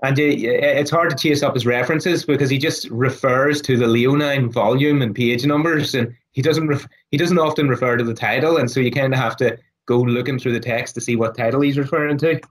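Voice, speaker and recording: A man with a raspy, hoarse voice who is losing his voice. He speaks as a guest scholar, thoughtful, with many fillers and restarts. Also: medium pitch, fairly even intonation, fairly quick, hardly any pauses, poor audio. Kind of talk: casual interview talk.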